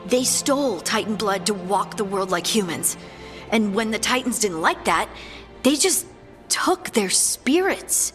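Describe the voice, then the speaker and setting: A woman's voice: mid-range voice, expressive, a little slow, fairly bright tone, in a large hall, soft background music. A woman playing a friendly, thoughtful dwarf scout in a video game, talking in an earnest, conversational way. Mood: troubled.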